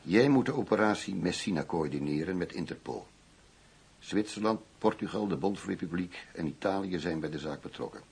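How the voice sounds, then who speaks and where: A man's voice: deep voice, lively intonation, normal speed, creaky, darkish tone, slightly rough, exaggerated voice. A man playing a blunt, cynical, decisive middle-aged police investigator in a Dutch radio play. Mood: businesslike.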